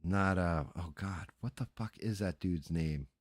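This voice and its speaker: Very deep-voiced middle-aged man, close dry sound. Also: unhurried, dry recording. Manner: crude, profane, joking, rambling. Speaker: livestream host. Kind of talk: casual livestream chat.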